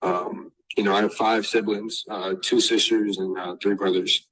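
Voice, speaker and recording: A young man from Massachusetts, raised in Texas, answering interview questions as a basketball recruit, halting with many fillers and restarts. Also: lowish voice, level tone, fairly bright tone, low-quality recording. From born in Massachusetts, raised in Texas. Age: young adult.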